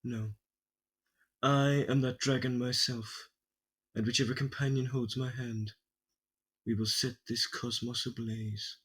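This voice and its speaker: A man's voice: mid-range voice, level tone, a little slow, long pauses, a bit bright, a little hiss or hum, lightly acted. A man playing a grandiose, menacing alien lord, declaiming dramatically in an audio drama. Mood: defiant, grand. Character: grandiose Time Lord proclaiming himself a fearsome dragon.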